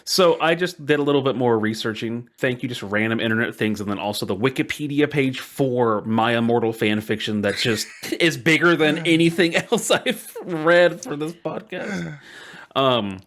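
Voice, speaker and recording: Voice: mid-range voice, moderate pace, fairly bright tone. A podcast co-host chatting casually and summarizing research in an amused, giggly manner.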